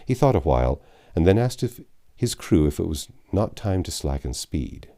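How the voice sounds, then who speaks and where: A man reading a classic adventure novel aloud as a storybook narrator, with a measured, dramatic storytelling delivery. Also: low-pitched, sing-song, dark tone. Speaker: audiobook narrator.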